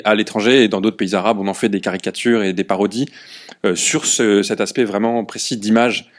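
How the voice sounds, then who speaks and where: Halting low-voiced man asking a question. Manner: halting, with many fillers. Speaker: audience member asking a question. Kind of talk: question at a public talk.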